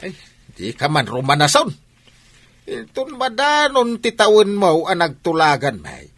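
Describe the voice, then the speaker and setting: A man playing a stubborn, scolding father in an Ilocano audio drama, with a husky voice. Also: sits high, huge pitch swings, measured pace, fairly bright tone, dry recording, exaggerated voice. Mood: insistent, scolding. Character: stubborn, scolding father arranging his daughter's marriage.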